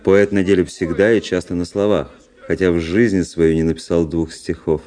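An actor playing a cool, ironic, bored young officer in a radio play, speaking in a measured aristocratic way. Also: male voice, deep voice, steady tone, mellow, close to an everyday voice. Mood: reflective narration. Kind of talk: radio drama acting.

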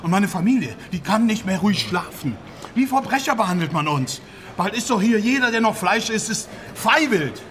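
An actor playing an aggrieved, defensive pig farmer who grumbles, blusters and swears, in a documentary-style radio play. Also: male voice, high voice, expressive, normal speed, a bit bright. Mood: angry, aggrieved. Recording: clear background ambience.

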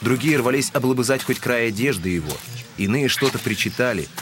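A man's voice: medium pitch, expressive, brisk, background noise. A man giving scripted literary narration as the narrator of an audio drama.

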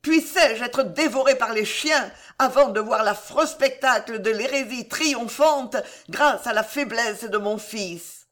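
A narrator voicing a fierce, fanatical queen mother in a loud, commanding, declamatory way with a hoarse edge. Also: mid-range voice, unhurried, hardly any pauses, voice pushed up, natural voice. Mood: fanatical pleading. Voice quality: hoarse, gravelly.

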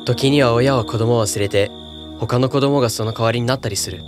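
A man's voice: medium pitch, fairly quick, music in the background, natural voice. A man voicing a brave, carefree boy hero with an eager, youthful manner in a children's storybook cartoon. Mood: calm, earnest.

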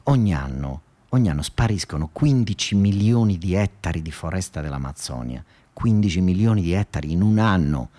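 Southern italian guy doing a theatre-style story monologue, lowish voice, emphatic, keeps repeating himself, really colloquial. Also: lively intonation, mellow. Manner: emphatic, halting and repetitive, colloquial.

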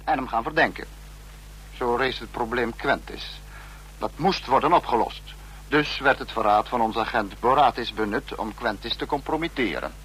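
An actor playing an uneasy, calculating totalitarian dictator who lectures sternly and deliberately, in an old radio drama style. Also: male voice, mid-range voice, fairly bright tone, breathy edge, rough recording. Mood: stern, brooding. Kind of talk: mid-century Dutch radio drama acting.

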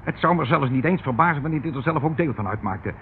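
An actor in a radio play playing a pompously cultured, patronising uncle who dotes falsely and evades every question. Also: male voice, fairly high pitch, animated, fast. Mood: scornful, insistent. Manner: theatrical, patronising, rambling, chuckling. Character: unctuous, evasive uncle captor, pompously cultured, falsely doting. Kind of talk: Dutch radio play acting. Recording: tinny, hissy old radio play recording.

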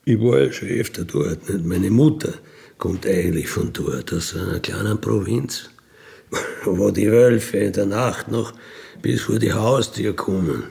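A wistful ex-robber muses in an intimate, naturalistic radio play monologue. He talks very slowly and haltingly, with a gravelly, creaky edge, often trailing into murmurs as he dreams of being free. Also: fairly low pitch, a little mellow. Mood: regretful, nostalgic. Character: wistful dying ex-robber dreaming of freedom in Siberia.

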